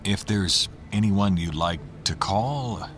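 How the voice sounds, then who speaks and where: A man playing a grave, reserved doctor in an audio drama, speaking in a measured, solemn way. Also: fairly low pitch, a bit bright. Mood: grave, sympathetic. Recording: faint background noise.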